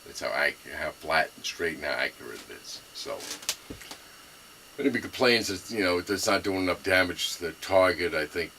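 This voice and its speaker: A middle-aged man with a thick Brooklyn accent talks in a very deep voice that still has a bright, sharp edge. He rambles casually, restarting sentences and filling gaps with ums and you-knows. A faint background noise sits under the recording. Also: animated, moderate pace. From New York. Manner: rambling, many fillers and restarts. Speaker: gun owner reviewing his rifle. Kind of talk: casual rambling show-and-tell.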